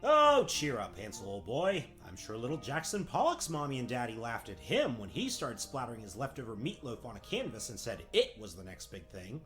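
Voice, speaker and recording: A man's voice: medium pitch, huge pitch swings, fairly quick, few pauses, fairly bright tone, soft background music. A man playing a wry, theatrical storybook narrator, tongue-in-cheek and mock-grand, in a comedic fairy-tale radio play.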